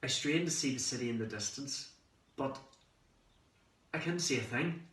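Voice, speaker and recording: A man acts out a cheeky young man, full of excitement, in a theatrical monologue. The voice is bright and medium-pitched, with dramatic pauses and conversational storytelling. It sounds echoey, recorded at a distance from the microphone. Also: lightly acted. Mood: wry, nervous. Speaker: amateur actor performing a monologue. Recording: roomy echo, distant microphone.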